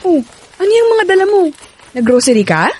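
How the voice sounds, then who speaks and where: An actress playing a gentle, trusting young pregnant woman in a Filipino radio drama, speaking affectionately with a Filipino accent. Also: extremely high pitch, big pitch swings, fairly quick, slight background noise. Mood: friendly. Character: young pregnant live-in partner, sweet, trusting, caring. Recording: studio drama over background music.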